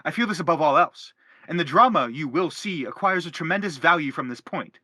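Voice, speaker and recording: A man's voice: fairly high pitch, animated, brisk, sharp tone, no room sound. A man playing a self-justifying middle-aged father in a stage play, philosophizing earnestly in a wordy, declamatory way. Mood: pleading, indignant. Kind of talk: theatrical stage-play reading.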